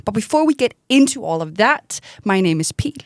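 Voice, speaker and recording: A woman's voice: mid-range voice, very animated, brisk, dry recording, clean studio sound. A woman co-hosting an interview podcast who welcomes her guest in an upbeat way. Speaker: podcast co-host. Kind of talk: podcast hosting, scripted intro.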